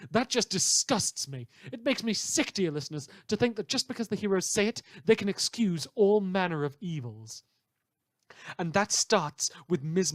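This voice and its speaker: A man's voice: high voice, no room sound. A man playing an opinionated, wry radio news host, delivering a chatty, theatrical monologue with sardonic asides in an audio drama. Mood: indignant. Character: opinionated, indignant, wry male small-station radio presenter.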